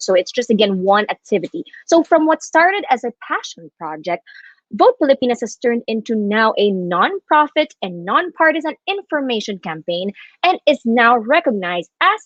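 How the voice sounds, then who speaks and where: A Filipina woman co-hosting an online town hall, reading upbeat scripted lines and switching between English and Tagalog. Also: on the high side. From Philippines. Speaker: co-host. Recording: dry, dull lo-fi sound.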